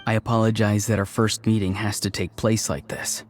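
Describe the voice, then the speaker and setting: An actor playing a careful, apologetic young male mystic in a video game, speaking politely and gently in measured phrases. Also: fairly low pitch, flat delivery, soft background music, close to an everyday voice. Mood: apologetic, calm.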